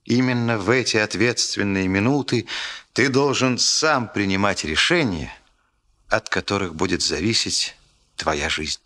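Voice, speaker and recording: A solemn radio play storyteller. His voice is somewhat low and bright, with real force, and he narrates with earnest, rhetorical emphasis. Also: unhurried. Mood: earnest, intense.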